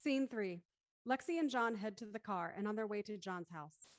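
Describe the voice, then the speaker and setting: A woman's voice: mid-range voice, normal speed, dry recording. A woman narrating a script and reading stage directions, with some casual host chat.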